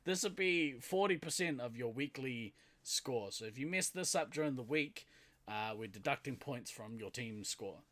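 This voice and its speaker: A man's voice: mid-range voice, lively intonation, normal speed, fairly bright tone. A young man with a New Zealand accent co-hosting a podcast, playfully explaining slang and laughing often. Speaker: podcast co-host. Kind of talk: casual chat.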